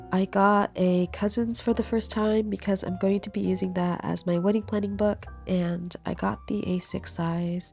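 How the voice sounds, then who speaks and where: A woman's voice: mid-range voice, vocal fry, darkish tone. A young woman with a cold, sounding stuffy and nasal, chatting easily through a vlog voiceover. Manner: chatty, easygoing, laughs while talking. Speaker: vlogger. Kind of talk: casual voiceover narration. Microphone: studio voiceover mic.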